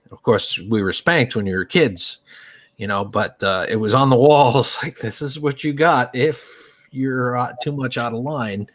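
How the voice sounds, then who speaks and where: A man's voice: medium pitch, a bit bright, no room sound. A man talking casually in a group, easygoing, with light laughs.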